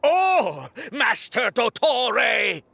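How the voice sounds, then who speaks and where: A man playing a defiant, vengeful villain's henchman in a video game, menacing and theatrical, with a gravelly voice. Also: very high voice, very animated, very slow, bright, forceful tone, no echo, shouting, heavily acted. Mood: bitter, threatening.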